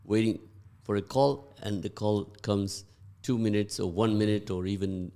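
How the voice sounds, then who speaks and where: Low-voiced male radio host, rambling. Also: fairly even intonation, mellow. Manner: rambling, halting with many fillers. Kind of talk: casual radio chat.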